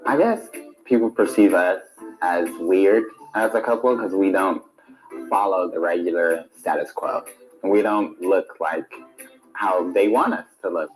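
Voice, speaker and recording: A man's voice: low voice, unhurried, faint music behind, low-quality recording. A man speaking plainly about himself in an interview clip.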